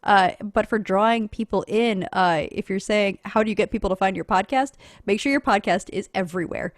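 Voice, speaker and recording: Casting: woman podcaster, average pitch, chatty and informal, explaining casually on a panel, little room sound. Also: fairly quick, no room sound, studio quality.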